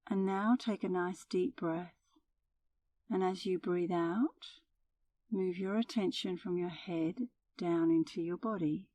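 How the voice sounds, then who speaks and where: A woman leading a guided meditation as an instructor, with a soothing, gentle delivery. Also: on the low side, fairly even intonation, slow, far from the mic.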